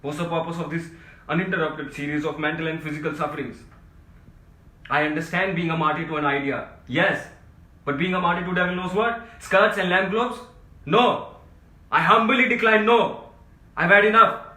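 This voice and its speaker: An actor playing an exhausted, self-pitying middle-aged civil servant in a stage play, pleading and ranting melodramatically. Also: male voice, lowish voice, level tone, a little fast, long pauses, lightly acted. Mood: hysterical despair. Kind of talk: theatrical stage-play dialogue. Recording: echoey room, distant mic, loud noise.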